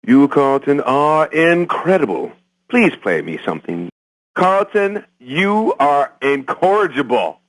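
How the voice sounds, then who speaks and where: An actor playing a flattering man who calls a radio station to beg for a request, in an exaggerated manner. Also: on the high side, takes it slowly, dry recording, full character voice, low-quality recording. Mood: pleading. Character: pleading, flattering male radio request caller. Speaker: radio caller.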